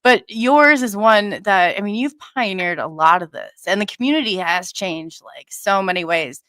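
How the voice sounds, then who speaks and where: A woman hosting a live online show, chatting warmly in a halting way and often restarting her sentences. Her voice sits at a middle pitch. The sound is dry, with no room echo. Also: animated. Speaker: host. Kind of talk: casual online show interview.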